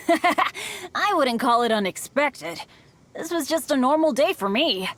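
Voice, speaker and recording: A woman voicing an earnest, excitable, boyish teenage adventurer in a video game. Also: high voice, slow pace, a little hiss or hum, full character voice. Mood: reassuring. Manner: boyish, excitable, dramatic storytelling. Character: earnest, eager, unlucky teenage boy adventurer.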